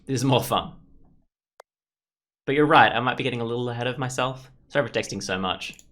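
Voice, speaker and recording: A man's voice: mid-range voice, a little fast, fairly bright tone. A man playing through a story game, casually commenting and reading its text aloud, often thinking aloud. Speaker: gaming YouTuber.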